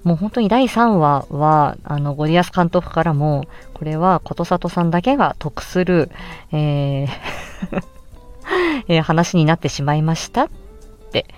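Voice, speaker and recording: A woman with a fairly deep voice talks casually as a solo podcast host. She is animated and emphatic in how she reacts to her own points. Soft music plays behind her close-miked speech. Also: measured pace, clean tone. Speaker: podcast host and amateur voice actress. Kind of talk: casual solo chat looking back on an audio drama.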